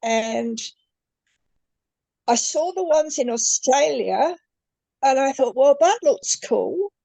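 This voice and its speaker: An older woman, telling her story as a guest in a halting way that repeats words. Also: high-pitched, animated, creaky, mellow, dry recording. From New Zealand. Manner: slow, halting, repeats words as she goes. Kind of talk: conversational interview.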